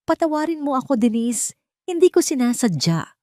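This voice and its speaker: A woman's voice: high voice, sing-song, normal speed, mellow, no room sound, pitched above the performer's own voice. A woman voicing a cornered, guilt-ridden businessman in an audio drama, tearful and pleading. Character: cornered, guilt-ridden businessman sobbing and begging his wife.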